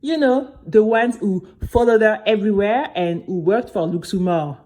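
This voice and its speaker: A woman's voice: mid-range voice, moderate pace, natural voice. A woman playing an earnest, chatty young girl who narrates her own adventure expressively, talking straight to the listener. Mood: excited, earnest. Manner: expressive, conversational, addresses listener. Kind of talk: animated first-person story narration.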